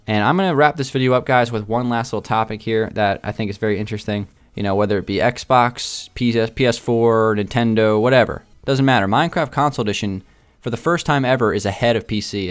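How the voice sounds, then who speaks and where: A man's voice: fairly low pitch, clean tone. A man chatting casually to his viewers in a gaming video, rambling a little and often repeating himself. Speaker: video game YouTuber.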